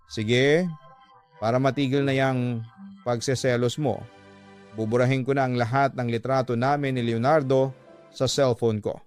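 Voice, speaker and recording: A woman in her thirties, acted out by a male narrator, defensive and placating with an irritable edge. The radio drama delivery stays at a medium male pitch, with music playing underneath. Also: steady tone, moderate pace, long pauses, darkish tone, clear, resonant tone, close to an everyday voice. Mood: nervous, placating.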